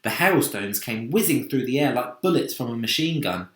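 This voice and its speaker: A man's voice: medium pitch, animated, normal speed. A man narrating a children's storybook expressively. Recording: roomy, echoing sound.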